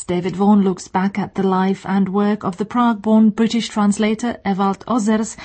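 A woman hosting a radio magazine show, reading her introductions in a measured, clear announcing manner. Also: mid-range voice, a little mellow. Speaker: radio host. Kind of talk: scripted radio presentation.

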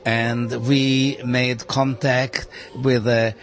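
A man in his eighties whose first language is German, answering an interview in a café with thoughtful, hesitant pauses. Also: mid-range voice, flat delivery. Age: 80s. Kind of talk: recorded interview.

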